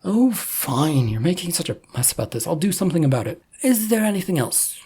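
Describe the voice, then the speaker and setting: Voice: mid-range voice, very animated, fairly quick, mellow, breathy edge, pitched above the performer's own voice. A narrator voicing a smug, evasive god with a grandiose, dismissive manner in a story reading. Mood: defensive, huffy. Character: smug, breezy, evasive god founding his own religion.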